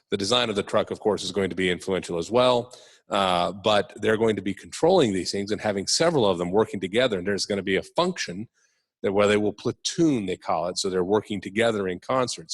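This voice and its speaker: Low-voiced man explaining on a panel. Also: no echo. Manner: earnest, repeats himself while explaining. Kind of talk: panel discussion.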